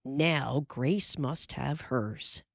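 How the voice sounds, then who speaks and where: A woman reading the part of a calm, firm pioneer father in a storybook. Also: very deep voice, extremely slow, creaky, dry recording, clean studio sound, full character voice. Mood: urgent. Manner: firm, reassuring.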